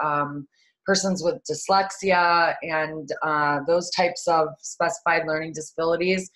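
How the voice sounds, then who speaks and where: A woman with a fairly low voice hosts a product webinar over a video call. She talks in a chatty, easygoing way, with many ums and uhs along the way. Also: fairly bright tone, low-quality recording. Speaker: marketing manager presenting a webinar. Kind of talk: casual webinar presentation.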